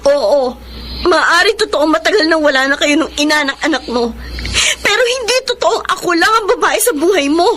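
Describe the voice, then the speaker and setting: A woman's voice: very high voice, expressive, moderate pace, fairly bright tone, slight background noise. An actress playing a heartbroken, betrayed young woman in an emotional, melodramatic radio drama style. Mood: accusing. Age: young adult. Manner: emotional, pleading and reproachful. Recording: drama with music behind the voice.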